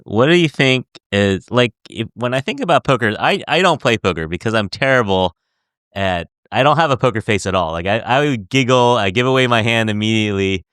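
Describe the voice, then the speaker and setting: Giggly chatty man hosting, smooth voice. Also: medium pitch, animated, a little fast, fairly bright tone, no echo, studio quality. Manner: chatty, giggly, rambling questions. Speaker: podcast host. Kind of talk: casual interview.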